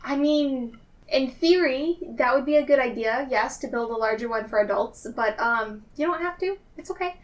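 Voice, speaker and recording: Woman playing a halting, higher-voiced polite adventurer. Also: close to an everyday voice. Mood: apologetic. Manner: halting, courteous, slightly abrupt. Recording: slightly distant mic.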